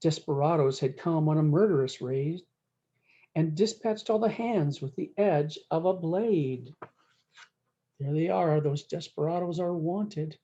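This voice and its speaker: A woman's voice: deep voice, a little slow, warm tone, lo-fi sound. A woman reading a rhyming cowboy storybook aloud in a folksy way, with short asides to her listeners. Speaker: story time host reading a picture book.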